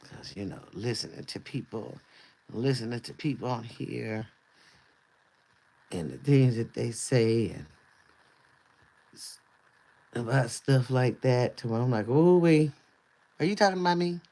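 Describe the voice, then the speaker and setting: A man casually chatting on a livestream in a loose, rambling way, his voice going hoarse. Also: mid-range voice, unhurried, long pauses, mellow. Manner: loose, rambling talk with easy laughs. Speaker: livestream host.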